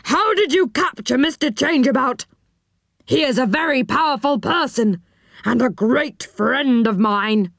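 A woman's voice: high voice, expressive, measured pace, gravelly, no room sound, clean studio sound, pitched above the performer's own voice, exaggerated voice. A woman voicing an imperious, suspicious male enchanter in a storybook, with a commanding manner. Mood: uneasy. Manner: loud, commanding.

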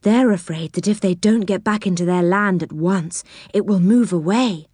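A gentle, caring storybook fairy girl, as a woman reader puts her on, soft-spoken and kind. The voice stays near the narrator's own and sounds dry and close-miked. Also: medium pitch, normal speed, breathy edge, no room sound, lightly acted.

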